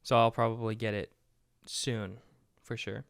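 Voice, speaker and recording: A young man, a student, co-hosting a podcast in relaxed, easygoing casual chat. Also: lowish voice, measured pace, gravelly, smooth, no room sound, studio quality. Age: young adult. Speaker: podcast co-host.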